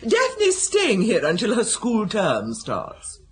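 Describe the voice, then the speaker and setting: An actress playing an imperious, exasperated middle-aged aristocratic lady in a radio comedy, with a husky voice and an upper-class English accent. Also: mid-range voice, huge pitch swings, takes it slowly, heavily acted. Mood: impatient. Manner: grand, scolding, gushing in company. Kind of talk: BBC radio comedy drama acting.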